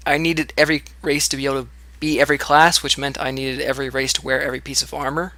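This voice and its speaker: A man's voice: medium pitch, little pitch movement, fast, pushed and bright, no echo. A man co-hosting a casual podcast chat, upbeat and teasing. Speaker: co-host.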